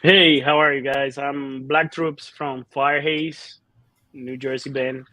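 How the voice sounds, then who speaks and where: Halting Cuban-born metal singer, dry sound. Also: male voice, medium pitch, flat delivery, pushed and bright, no room sound. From Cuba. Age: 30s. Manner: halting, many fillers and restarts. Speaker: band singer and bassist. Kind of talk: casual interview answers.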